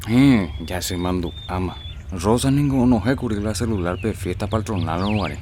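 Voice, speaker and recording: A man's voice: lowish voice, moderate pace, darkish tone, slight background noise, lightly acted. A man playing an earnest middle-aged Paraguayan farmer and father in a radio soap opera. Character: earnest father, farmer organizing fellow cane growers.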